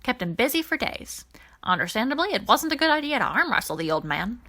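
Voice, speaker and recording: A woman voicing a jaunty, boastful older alien gentleman in an audiobook, telling nostalgic stories with theatrical flourish. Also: fairly high pitch, sing-song, fairly quick, voice pushed up, full character voice. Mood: fond, boastful.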